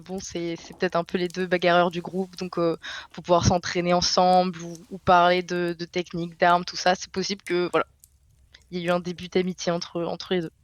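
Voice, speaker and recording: A woman's voice: on the low side, no echo. A woman player hesitantly presenting her tabletop game character, with many fillers.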